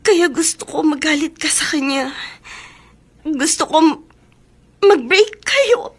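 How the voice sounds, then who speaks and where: A woman playing a timid, lovesick young woman who speaks haltingly and pleadingly in a Filipino radio drama. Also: sits high, lively intonation. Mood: remorseful, pleading. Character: timid, lovesick young woman confessing to her best friend.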